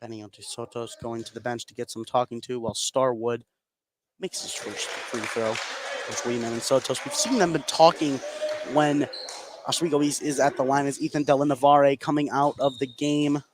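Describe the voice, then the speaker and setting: An American man doing live play-by-play basketball commentary on the radio, with excited calls of the action. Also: medium pitch, normal speed. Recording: live game broadcast with clear background noise. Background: sports venue noise.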